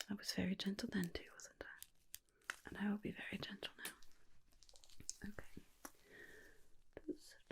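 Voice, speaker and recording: A tender vampire lady, played by a woman, speaks in a hushed, breathy whisper. She fusses lovingly over her darling, reassuring softly and without hurry. The delivery is intimate ASMR roleplay, gentle and soothing throughout. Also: mid-range voice. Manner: soothing, unhurried, tender reassurance with repeated soft phrases.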